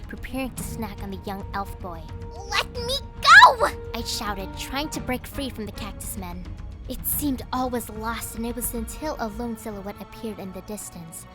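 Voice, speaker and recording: Woman narrating over loud music. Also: on the high side, moderate pace. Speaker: audiobook narrator. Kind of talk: scripted first-person fantasy story narration. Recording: music behind the voice.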